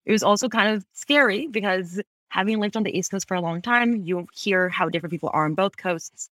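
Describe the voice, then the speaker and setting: A woman's voice: mid-range voice, fairly bright tone, no echo. A woman in her thirties from Ecuador, a podcast guest talking articulately about her career, often correcting herself mid-sentence. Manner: fast, articulate, frequent self-corrections. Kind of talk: conversational interview. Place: home office, Bay Area.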